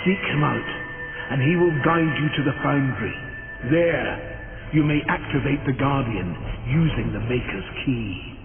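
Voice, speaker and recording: A man's voice: medium pitch, natural voice. A man as a solemn old maker, music swelling. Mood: earnest, instructing. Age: older. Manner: grave, measured. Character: solemn, weary old male maker elder. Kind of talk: video game cutscene acting. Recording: tinny band-limited audio under loud music.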